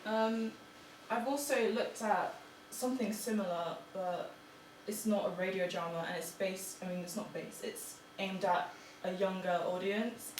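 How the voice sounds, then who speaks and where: A woman's voice: medium pitch, steady tone, a little slow. Hesitant young woman in an echoey room. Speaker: student presenter. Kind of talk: informal class presentation. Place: room in front of an audience. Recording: echoey, noisy room, distant mic.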